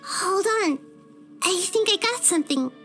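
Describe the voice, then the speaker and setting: A woman voicing a gentle, wise child goddess in a video game, with a soft childlike voice and a thoughtful, earnest manner. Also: very high-pitched, animated, a little slow, soft background music, heavily acted. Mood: focused.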